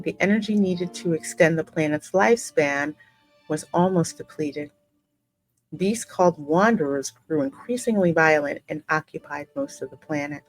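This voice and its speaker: A woman hosting a game playthrough, reading the story aloud as the player character in an expressive, measured, dramatic way. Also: sits low, low-quality recording. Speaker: gaming host voicing the player character. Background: musical game soundtrack.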